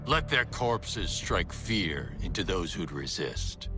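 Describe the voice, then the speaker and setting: A man's voice: sits low, very animated, slow, full character voice. A man voicing a menacing brainwashed superhero villain in a video game, cold and commanding, with music behind him.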